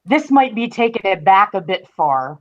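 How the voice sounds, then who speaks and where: A woman's voice: mid-range voice, sing-song, moderate pace. A woman guesting on a podcast, chatting casually and with enthusiasm. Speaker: podcast guest, entertainment writer. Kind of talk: casual chat. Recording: slightly dull, lo-fi call audio.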